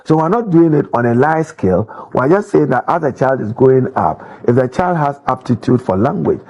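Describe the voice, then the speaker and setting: A man with a Ghanaian English accent, an education official answering interview questions in a measured, explanatory way with filler pauses. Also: mid-range voice, expressive, darkish tone, highs cut off.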